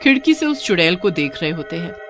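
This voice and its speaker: Cartoon horror narrator, scripted storytelling, middle pitch, clear background music. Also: very animated, a little fast.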